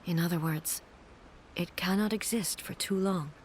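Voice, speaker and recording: A woman voicing a serene, regal female ruler in a video game, speaking in a calm, measured, commanding way. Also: lowish voice, a little hiss or hum, close to an everyday voice. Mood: explaining.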